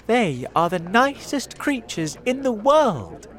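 A man voicing a friendly, excited small boy in a storybook, eager and reassuring. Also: sits high, takes it slowly, voice pushed up, heavily acted.

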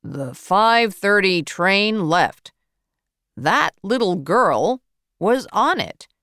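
A woman voicing a hurried, offhand male ticket-office clerk in a storybook reading. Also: medium pitch, big pitch swings, fairly bright tone, no echo, clean studio sound, full character voice. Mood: brisk. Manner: brisk, offhand.

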